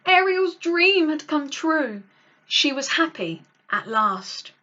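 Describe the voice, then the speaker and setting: A woman tells a children's story in a fairly high, very lively voice, hosting a read-along. Her delivery is dramatic and expressive, pitched for young listeners. The sound is slightly dull and lo-fi. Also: slow pace. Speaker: storyteller, channel host.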